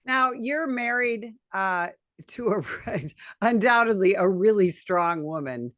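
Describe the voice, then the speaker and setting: A woman hosting a podcast, asking measured, deliberate interview questions. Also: medium pitch, animated, fairly bright tone, no room sound, clean studio sound. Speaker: podcast host.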